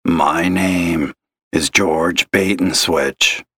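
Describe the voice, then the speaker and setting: A man voicing a miserable, gravel-voiced caller who talks slowly and gloomily. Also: sits low, fairly even intonation, sharp tone, dry recording, pitched below the performer's own voice, heavily acted. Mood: gloomy, bitter. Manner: slow, gloomy and accusing. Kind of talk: audio story reading.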